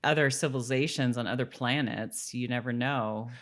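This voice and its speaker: A woman's voice: a bass voice, normal speed. A woman co-hosting a podcast, chatting casually about a TV show. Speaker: podcast co-host. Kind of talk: casual chat about a TV episode.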